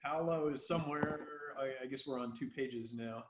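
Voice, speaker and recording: A man hosting an online call, making informal introductions in halting, hesitant phrases. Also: medium pitch, background noise. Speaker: session host. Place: roomy space, video call. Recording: echoey room on video call.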